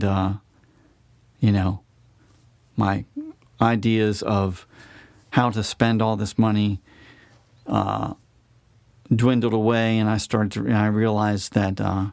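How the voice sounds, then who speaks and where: A man talking casually and reflectively about his own music as an interview guest, pausing mid-phrase to find his words. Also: lowish voice, a little mellow, no room sound.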